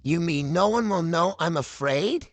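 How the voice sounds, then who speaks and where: Woman voicing a slow, husky little boy turtle. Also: fairly low pitch, expressive, voice pushed down. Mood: anxious. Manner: slow, hesitant, wobbly. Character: scared young boy turtle, earnest and sleepy.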